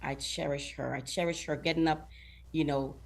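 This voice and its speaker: A woman's voice: sits low, steady tone, normal speed, gravelly. A middle-aged woman from Guyana, a talk show guest who speaks earnestly, laughs often and acts out what other people say. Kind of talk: casual interview chat.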